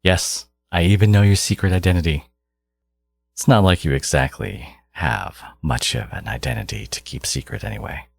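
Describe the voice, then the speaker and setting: A man playing a menacing, condescending genius supervillain in an audio roleplay, exasperated and obsessive yet caring, with a low, gravelly, husky voice. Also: animated, moderate pace, no echo, exaggerated voice. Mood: smug, obsessive. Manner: condescending, menacing, theatrical, slipping into whispers. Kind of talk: dramatic second-person audio roleplay monologue.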